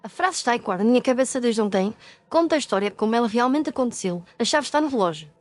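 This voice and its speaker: Woman playing quick, higher-voiced curious young podcaster. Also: lively intonation, no room sound, lightly acted. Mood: intrigued. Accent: European Portuguese. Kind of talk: audio ghost story acting.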